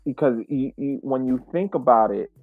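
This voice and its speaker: A man co-hosting a casual sports podcast, speaking in a halting, measured way and repeating words, over thin call audio. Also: medium pitch, no room sound, narrow, tinny audio, faint music behind. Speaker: podcast co-host.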